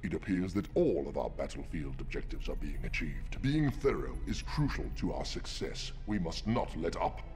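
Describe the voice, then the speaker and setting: An actor playing a heroic giant robot leader in a video game, gravelly, grave and formal in giving orders. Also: male voice, deep voice, expressive, moderate pace, few pauses. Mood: stern, measured. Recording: loud music over voice.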